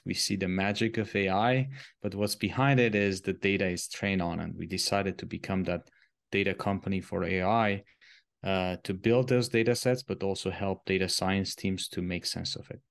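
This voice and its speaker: A man in his thirties from Armenia, speaking English as a podcast guest, explaining his work in a measured way and sometimes searching for words. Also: on the low side, no room sound, studio quality. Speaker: guest, company co-founder. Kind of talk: podcast interview answers.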